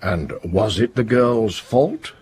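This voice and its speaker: An actor playing a grave, probing police inspector in a radio drama, with a rough, husky voice and a deliberate, accusatory way of questioning. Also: male voice, on the low side, expressive, a little mellow, heavily acted.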